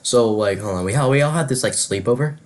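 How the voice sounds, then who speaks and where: A man's voice: medium pitch, quick pace. A young man chatting and telling stories casually, in loose slangy speech full of "bro" and "like". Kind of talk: casual spoken storytelling and chat.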